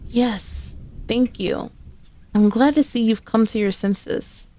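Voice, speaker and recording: A woman's voice: on the high side, slow, warm tone. A woman playing a sassy, sneaky, possessive centuries-old female demon in an ASMR roleplay, speaking in a haughty, teasing, formally old-fashioned way. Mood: haughty, insistent. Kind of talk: ASMR roleplay, scripted character acting.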